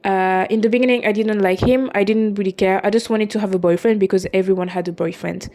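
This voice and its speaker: A young woman in her twenties talks through her own story as a podcast host. English is not her first language. She speaks haltingly and often stops to fix her own words, in a plain, mid-pitched voice. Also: fairly even intonation, warm tone. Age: 20s. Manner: halting, with frequent restarts and self-corrections. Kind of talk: personal confessional monologue.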